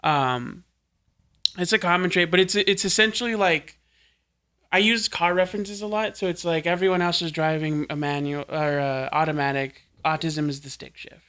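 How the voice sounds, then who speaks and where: Bright-voiced man explaining earnestly. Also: on the high side, normal speed, clean tone. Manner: earnest, explaining things step by step. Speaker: podcast host. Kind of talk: casual podcast chat.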